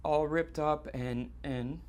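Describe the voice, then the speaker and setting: An actor playing a distraught, guilt-ridden man on stage, confessing in halting, repetitive, stammered phrases in a live theatre monologue. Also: on the high side, fairly even intonation, darkish tone. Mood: hesitant, dread. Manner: halting, stammering, repeating himself.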